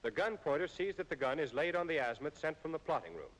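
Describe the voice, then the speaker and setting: An American man reading scripted instructional narration in a formal, measured way, as in an old military training film. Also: on the high side, animated, rough recording.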